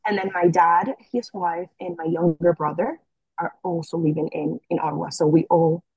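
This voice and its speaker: A young woman from the Dominican Republic, answering interview questions in a relaxed way, with many fillers and restarts. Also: lowish voice, moderate pace, breathy edge, dry recording, highs cut off. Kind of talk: casual conversational interview.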